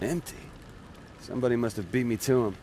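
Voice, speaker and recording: A man's voice: mid-range voice, fast, very noisy, natural voice. A man voicing a cocky, wisecracking young superpowered rebel in a video game, with an American accent and casual delivery. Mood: alert, breezy. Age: young adult.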